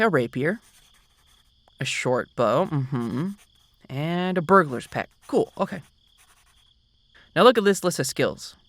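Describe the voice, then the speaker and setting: A man's voice: on the high side, dry recording. A man doing an ASMR roleplay as a boyfriend, patiently explaining things to the listener. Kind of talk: soft-spoken ASMR roleplay.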